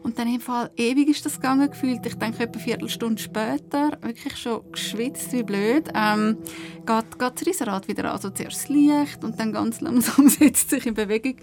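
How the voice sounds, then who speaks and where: A woman with a Swiss German accent, a podcast guest casually telling an anecdote in an animated way. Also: fairly high pitch, normal speed, soft background music.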